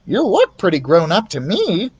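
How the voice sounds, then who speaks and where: A man voicing an eager, impulsive young male mouse in an excitable, earnest storybook read-aloud. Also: high voice, moderate pace, breathy edge, dull audio, voice pushed up, exaggerated voice.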